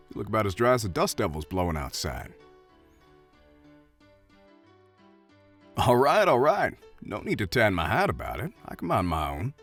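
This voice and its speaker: Old West bartender, drawl, lower-pitched, very animated, folksy, hesitant, friendly, audio roleplay, faint music behind. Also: male voice, exaggerated voice. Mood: welcoming. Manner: hesitant, folksy, soft-hearted. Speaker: voice actor. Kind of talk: audio roleplay acting addressed to the listener.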